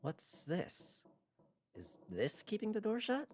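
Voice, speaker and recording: A woman's voice: mid-range voice, huge pitch swings, slow, a little mellow, pitched above the performer's own voice. A woman voicing a confused, frustrated young man trapped in a locked room, read aloud from a visual novel, muttering and exclaiming in exasperation. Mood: puzzled. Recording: clearly noisy background.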